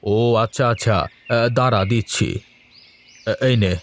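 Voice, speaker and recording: A man's voice: on the low side, a little slow. A man voicing an earnest, obliging elder brother who keeps a village shop in a cartoon. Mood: mild, curious. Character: dutiful grown elder brother, a village shopkeeper. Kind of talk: Bengali cartoon dub acting.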